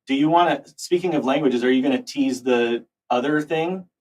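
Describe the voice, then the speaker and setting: An American man co-hosting a livestream, chatting in a relaxed, joking way. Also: medium pitch, normal speed, poor audio. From United States. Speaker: co-host. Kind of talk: casual livestream chat.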